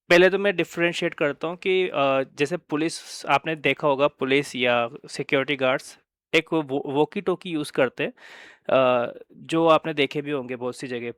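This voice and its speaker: An Indian man with a fairly high, smooth voice explains products in an informal presentation. He speaks hesitantly, drops in English phrases and punctuates points with little check-in words. The sound is close and dry. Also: fairly bright tone, no room sound. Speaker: company presenter of wireless communication products.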